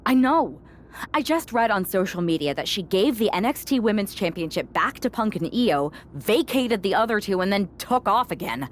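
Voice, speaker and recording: A woman's voice: medium pitch, very animated, normal speed, a little hiss or hum, natural voice. A woman playing an earnest wrestling teammate in a video game cutscene. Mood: earnest, exasperated. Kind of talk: scripted game cutscene dialogue.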